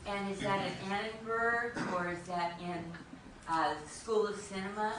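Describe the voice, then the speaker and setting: A woman's voice: medium pitch, steady tone, measured pace. Distant woman, very echoey and noisy. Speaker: audience member. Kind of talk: casual question from the audience. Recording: very echoey hall, distant, loud noise.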